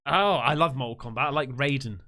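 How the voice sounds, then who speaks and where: A man hosting a live stream, chatting with callers in a chummy, excitable way full of slang. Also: medium pitch, fairly quick, crowd noise. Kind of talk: casual live chat.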